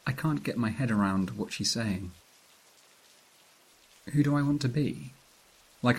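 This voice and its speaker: Broken anxious man, husky mid-pitched voice, first-person horror podcast monologue. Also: darkish tone, poor audio. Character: David, broken, anxious man telling his own story.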